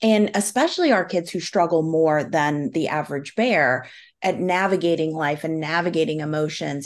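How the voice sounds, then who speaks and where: A middle-aged woman, a guest on a parenting podcast, talking earnestly in long explanatory runs with occasional fillers. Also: low voice. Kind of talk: conversational podcast interview.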